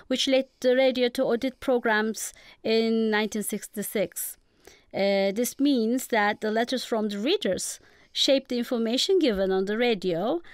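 A woman's voice: fairly high pitch, clean tone, no echo. A woman with a Turkish accent, giving formal, academic, prepared answers as a guest expert. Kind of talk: prepared lecture-like radio interview.

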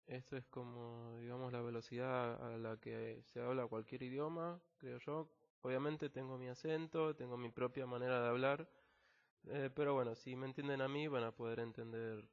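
Man, Argentine accent, English teacher, patient explanations, fillers, middle pitch, dull recording. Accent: Argentine Spanish. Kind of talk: screen-recorded language lesson.

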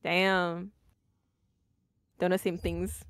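A woman's voice: lowish voice, very slow, clear, resonant tone, no room sound. A woman whose first language is Spanish, chatting casually and calling out plays while streaming a team shooter game over voice chat. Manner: excited callouts, slips into Spanish. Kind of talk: casual gaming chat with friends.

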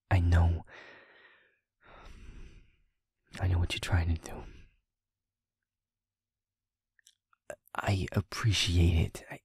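Casting: male actor as driven young werewolf boyfriend for audio roleplay. Low, very breathy, slow, earnest and wounded. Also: a little mellow, no echo, clean studio sound, exaggerated voice. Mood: tender, earnest. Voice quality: husky, breathy, often near whisper. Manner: halting, earnest, emotionally strained. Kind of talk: intimate audio roleplay acting.

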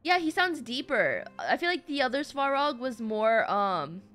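A woman game streamer giving casual, rambling live commentary in an excitable, giggly way, on a close mic. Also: fairly high pitch, unhurried, soft background music.

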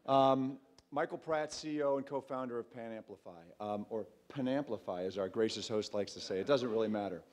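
A man with a mid-pitched voice presents as a startup founder. His delivery is conversational and emphatic, with direct questions to the listeners. He speaks into a microphone on an auditorium stage. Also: fairly quick. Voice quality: loud voice. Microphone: microphone on a lectern stand.

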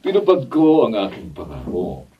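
Brief: blunt protective Filipino dad, radio drama, very animated delivery, distant noisy recording. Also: male voice, medium pitch, normal speed. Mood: reflective. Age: middle-aged. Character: blunt, protective father, later conciliatory, narrating his story. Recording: distant camera mic, dull, noisy studio.

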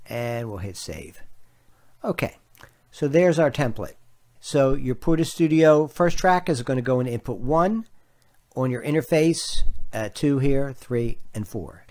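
A man's voice: medium pitch, a little mellow. A man narrating an instructional tutorial as its host, explaining things step by step in a plainspoken way.

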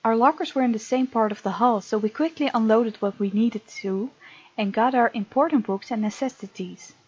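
A woman hosting a let's play, reading game text aloud with playful, expressive asides. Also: on the high side, normal speed, breathy edge, no room sound, muffled recording. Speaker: gaming video host.

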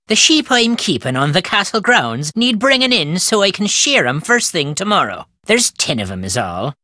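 A gruff, wry old Irish countryman for a video game, stubborn and superstitious. His voice swoops with a lively storytelling lilt and has a bright, forceful edge, recorded close and dry. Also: high-pitched, dry recording, exaggerated voice. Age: older. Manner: folksy inverted phrasing, storytelling lilt. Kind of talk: video game character dialogue.

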